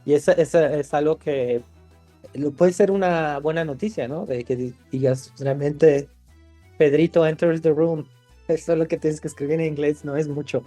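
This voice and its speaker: A man's voice: a little high, steady tone, normal speed, dark tone, no echo, faint music behind. A man from Italy, a screenwriter guest in a podcast interview, talking thoughtfully with frequent restarts. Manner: thoughtful, many restarts and fillers.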